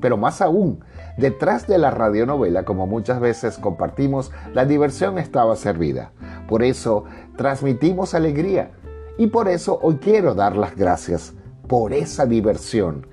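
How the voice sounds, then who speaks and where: A man's voice: medium pitch, very animated, normal speed, mellow, faint music behind. A man speaking as a radio drama director, giving an earnest, formal, declamatory speech of thanks.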